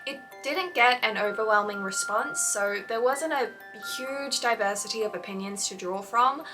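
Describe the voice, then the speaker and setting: A woman's voice: a little high, normal speed, recorded from a distance. A woman hosting a scripted instructional video on writing, with articulate, emphatic phrasing. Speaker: host of fan fiction writing advice videos. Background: background music.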